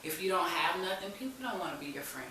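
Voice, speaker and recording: Woman w low voice telling her story on a talk show, really echoey, far from mic w some hiss. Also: lively intonation, fast, pushed and bright. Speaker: talk show guest in recovery. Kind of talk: personal testimony in a conversational interview.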